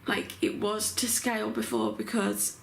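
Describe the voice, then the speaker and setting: A young, heavily pregnant woman chatting casually to her camera at home, full of filler words, her voice croaky. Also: mid-range voice, unhurried, a little hiss or hum, poor audio. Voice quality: croaky morning voice. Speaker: vlogger. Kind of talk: casual vlog chat. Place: home bedroom.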